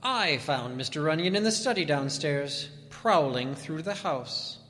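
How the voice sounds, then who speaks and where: An actor playing a formal, outwardly timid husband who is a menacing killer in an old-time radio drama, prim and stiffly polite. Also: male voice, a little high, animated, measured pace, a bit bright. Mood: coldly polite. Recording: reverberant hall.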